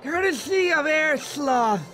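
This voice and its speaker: A man's voice: extremely high pitch, lively intonation, slow, pushed and bright, heavily acted, poor audio. A man voicing a goofy, eager, put-upon male ground sloth in a video game cutscene. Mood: eager, proud. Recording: music behind the voice.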